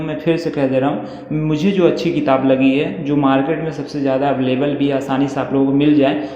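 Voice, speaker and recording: A man's voice: fairly high pitch, warm tone. A man explaining exam material informally like an online coaching teacher, reassuring and often checking in with listeners. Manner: reassuring, repetitive check-in phrases. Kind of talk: informal explanatory lesson, reading through a syllabus. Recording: echoey reverberant room, muffled, faint noise.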